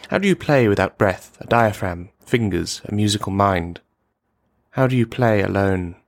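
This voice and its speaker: A man playing a lonely, menacing misogynist in a radio play monologue, speaking in formal, mannered, halting phrases. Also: lowish voice, expressive, vocal fry, no echo, studio quality. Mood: wondering.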